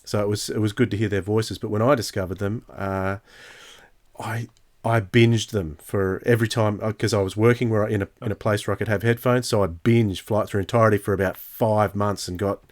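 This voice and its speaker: Male co-host, slightly low-pitched, informal conversational style. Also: fairly even intonation, fairly quick. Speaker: podcast co-host. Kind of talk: casual chat.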